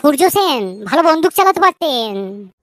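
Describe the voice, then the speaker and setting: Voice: high voice, big pitch swings, moderate pace, fairly bright tone, dry recording, studio quality. A performer voicing a cheeky young schoolchild in a comic cartoon, offering silly excuses with stammered, repeated words. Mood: eager, clueless.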